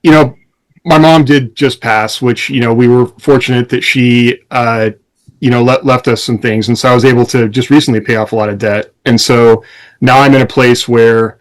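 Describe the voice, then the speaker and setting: A man's voice: mid-range voice, steady tone, moderate pace, poor audio. A man co-hosting a podcast, talking in a casual, rambling, explanatory way among friends. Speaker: podcast co-host. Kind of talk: casual conversation among co-hosts.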